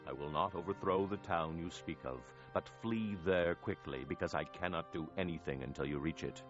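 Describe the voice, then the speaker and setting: A man's voice: sits low, moderate pace, dull audio, over music, natural voice. A man playing a grave, commanding angel in a Bible audio drama. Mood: grave, relenting. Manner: grave, imperative. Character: commanding male angel, urgent messenger of doom.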